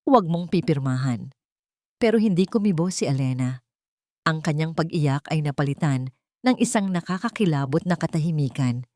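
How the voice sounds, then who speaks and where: A woman's voice: low voice, lively intonation, normal speed, dark tone, no echo, studio quality. A woman with a Filipino accent reading a dramatic love story as scripted narration.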